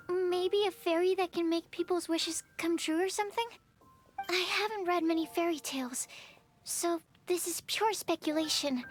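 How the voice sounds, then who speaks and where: A timid young alchemist who hedges every word. Her voice is very high, and faint music plays underneath. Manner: timid, hesitant, hedging. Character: shy, bookish young female alchemist. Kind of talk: game voice acting.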